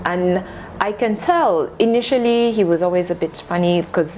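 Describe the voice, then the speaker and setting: A woman's voice: fairly low pitch, normal speed, a little mellow, slight background noise, low-quality recording. A woman speaking as a guest in a conversational discussion, thoughtful and earnest. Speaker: podcast guest.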